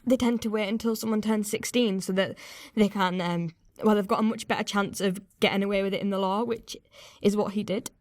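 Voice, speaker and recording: A young woman played by an actress speaks in a naturalistic radio confessional. She is hesitant and uneasy but candid, with a medium pitch in a very dry close recording. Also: steady tone, no room sound, natural voice. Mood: uneasy, reflective. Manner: hesitant, halting, candid, swearing. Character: young woman uneasily recalling an abusive first relationship.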